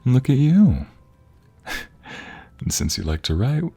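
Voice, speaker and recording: A man playing an affectionate, playfully teasing husband in an intimate ASMR roleplay, soft, husky and gravelly, with murmured coaxing and breathy whispers. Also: mid-range voice, very animated, slow, faint music behind, exaggerated voice. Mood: teasing, amused. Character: affectionate, playfully teasing, sappy husband doting on his wife.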